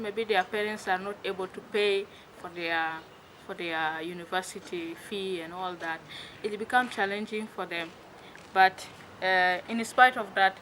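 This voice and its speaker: Young nun, middle pitch, halting interview delivery, faint background noise. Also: female voice, fairly bright tone, low-quality recording. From South Sudan. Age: young adult. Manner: hesitant, pauses with many fillers. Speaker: religious sister and educator. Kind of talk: interview answers.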